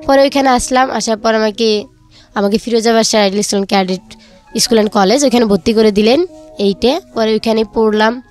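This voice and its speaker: High-voiced young Bangladeshi woman, music behind. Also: fast, a little mellow. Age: young adult. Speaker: interview guest, student. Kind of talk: personal life story.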